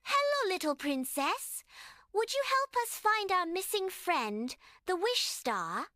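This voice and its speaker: Little glowy fairy-tale sprite, really high n bright, swoopy, playful. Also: female voice, sing-song, slow pace, no echo, studio quality, full character voice. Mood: sweet, welcoming. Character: tiny friendly playful glowing sprites and stars, childlike. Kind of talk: children's bedtime story character acting.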